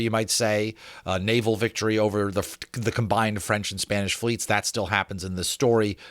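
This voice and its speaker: A man's voice: low-pitched, a bit bright, no room sound, clean studio sound. A man hosting a book reading, chatting informally and enthusiastically with rambling asides. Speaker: narrator, host of dramatic book readings. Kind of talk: chatty commentary and dramatic audiobook reading.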